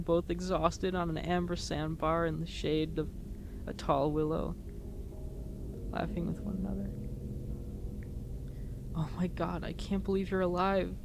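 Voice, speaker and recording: A woman playing an emotional young huntress in a dramatic roleplay monologue, speaking in an earnest, halting way. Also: lowish voice, a little mellow, close to an everyday voice. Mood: overjoyed relief. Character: emotional young huntress reunited with her lost friend. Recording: noticeable background noise.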